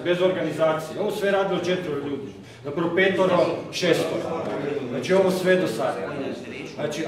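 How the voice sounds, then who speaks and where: Man, fast rambling talk, high voice, far from mic, echoey room. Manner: rambling, with many fillers. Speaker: organizer of the meeting. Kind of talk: casual address to a meeting.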